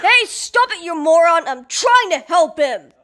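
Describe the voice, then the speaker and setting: Cartoon alley cat, female actor: very high, animated, anxious, sarcastic, shouty; faintly noisy home recording. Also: measured pace. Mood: terrified, frantic. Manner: exasperated, shouty. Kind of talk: cartoon fandub acting.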